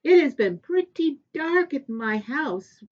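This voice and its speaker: A woman voicing a modest, earnest male porcupine farmer in a storybook reading, plain-spoken and gently worried. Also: sits high, big pitch swings, takes it slowly, lo-fi sound, voice pushed up, heavily acted. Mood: troubled, frank.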